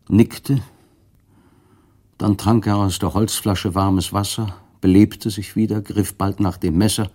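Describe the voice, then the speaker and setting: Low-voiced man narrating a radio play. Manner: measured and expressive, rising with the drama. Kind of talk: scripted literary narration for radio.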